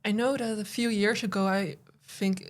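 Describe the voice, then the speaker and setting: Woman answering in halting phrases. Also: mid-range voice, steady tone. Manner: halting, frequent "uh" fillers. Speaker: podcast guest, spoken word poet. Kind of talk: casual conversational interview.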